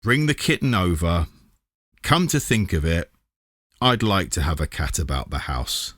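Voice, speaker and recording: Elderly male neighbour, kindly, a bit hesitant, played by a narrator, low voice, storybook reading. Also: sing-song, a little slow, fairly bright tone, no room sound, studio quality, full character voice.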